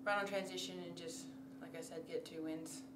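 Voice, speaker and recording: A young woman basketball player answers post-game questions modestly and plainly. Her voice sits low and stays flat. The mic is some distance away in an echoey room with clear background noise. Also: a little fast. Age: young adult. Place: indoor press backdrop.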